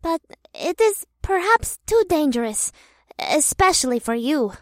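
A man voicing a shy, gentle young nymph woman who speaks quietly and hesitantly. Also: very high-pitched, very animated, a little slow, no echo, clean studio sound, pitched above the performer's own voice, exaggerated voice. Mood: earnest. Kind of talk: audiobook character voice.

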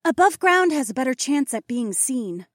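A man's voice: very high voice, sing-song, normal speed, fairly bright tone, no room sound, clean studio sound, pitched above the performer's own voice, full character voice. A man voicing confident, playful women warriors in a fantasy audiobook.

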